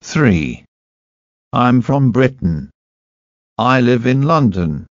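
A man reading short scripted sentences for a language listening test, deliberate and clearly enunciated. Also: medium pitch, sing-song, no echo, muffled recording.

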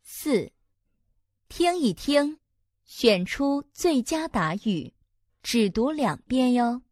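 A woman's voice: on the high side, big pitch swings, slow pace, a little mellow, no room sound, studio quality. A woman reading listening-test instructions in a friendly, sing-song way; her first language is Chinese.

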